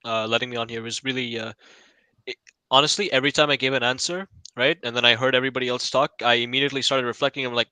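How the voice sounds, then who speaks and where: A man's voice: mid-range voice, steady tone, pushed and bright, clear, resonant tone, no room sound. A male panelist giving reflective closing thanks. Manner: rapid, reflective.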